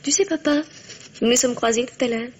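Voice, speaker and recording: An actress playing a tender, inquisitive young adult daughter in an old-style radio drama, earnest and gently questioning. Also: female voice, on the high side, fairly quick, muffled recording, close to an everyday voice. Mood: tender, probing. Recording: noisy old radio recording.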